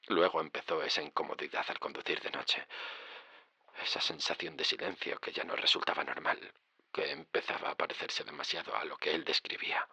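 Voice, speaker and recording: An actor playing a haunted driver recounting his dread in a horror audio drama, with suspenseful pauses. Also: steady tone, pushed and bright, dry recording, exaggerated voice. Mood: subdued, uneasy. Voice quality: low, gravelly. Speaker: narrator and lead character. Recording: dramatic music under the voice. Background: music.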